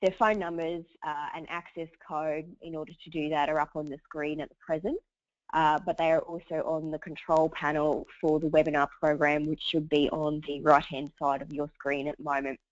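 A woman, hoarse from a cold, hosting a webinar and running through housekeeping in a chatty way with many fillers. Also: low-pitched, level tone, normal speed, no room sound. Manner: chatty, lots of uhs. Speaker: webinar host. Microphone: computer mic over a webinar line. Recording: dull dry webinar audio.

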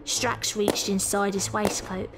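A woman's voice: medium pitch, monotone, takes it slowly. A woman reading scripted, dramatic story narration. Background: music.

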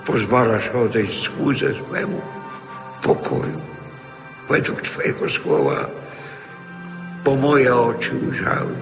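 A frail, devout old man speaks with a heavily creaking voice. He is slow and reverent, like a solemn prophet in a scripture audio drama, and his words ring out in a long reverb. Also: medium pitch, exaggerated voice. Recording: long cavernous reverb under music.

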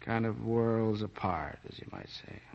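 A man's voice: lowish voice, a little slow, vocal fry, a little hiss or hum. An actor playing a weary, plain-spoken American policeman, awkwardly smitten, in a 1940s radio drama. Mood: wistful, smitten. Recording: dull old 1940s radio recording.

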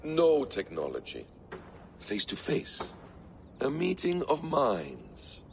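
An actor playing a calm, scheming ancient man who speaks in a measured, quietly menacing way, in a video game cutscene. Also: medium pitch, gravelly, slight background noise, heavily acted. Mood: composed.

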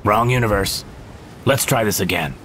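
A man's voice: lowish voice, normal speed, noisy background, lightly acted. A man playing a cocky, sly space adventurer with a swaggering, teasing manner in a comedy sci-fi audio drama. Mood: confident.

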